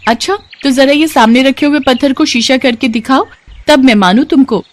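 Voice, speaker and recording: A woman's voice: high voice, moderate pace, voice pushed up, close to an everyday voice. A woman voicing a plucky, innocent little girl with a bold, curious, childlike manner in a children's story. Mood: cheeky, defiant.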